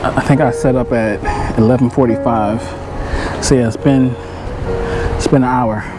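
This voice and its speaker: A man's voice: medium pitch, fairly even intonation, unhurried, over music, rough recording. A middle-aged man chatting casually and in slang to his viewers as a fishing vlogger, recorded outdoors on a pier. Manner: laid-back, slangy. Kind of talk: casual vlog chat to viewers.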